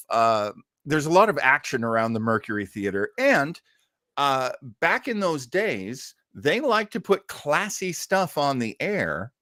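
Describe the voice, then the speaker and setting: A man's voice: mid-range voice, fairly bright tone, dry recording. Man hosting a chatty, explanatory discussion, dry sound. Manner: conversational, explanatory, thinking aloud. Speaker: host of an online radio-history show. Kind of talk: casual discussion and commentary.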